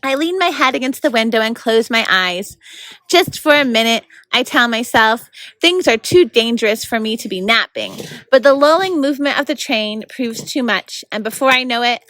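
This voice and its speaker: A woman's voice: fairly high pitch, expressive, normal speed, no room sound, poor audio. A woman reading a children's novel aloud as a volunteer storyteller. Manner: coaches child readers with quiet prompts.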